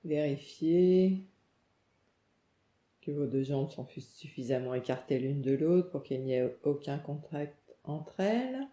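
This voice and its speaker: A woman leads a guided relaxation meditation, calm and soothing, giving gentle instructions in short phrases with pauses. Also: low-pitched. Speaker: yoga nidra meditation guide. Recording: muffled, echoey room sound.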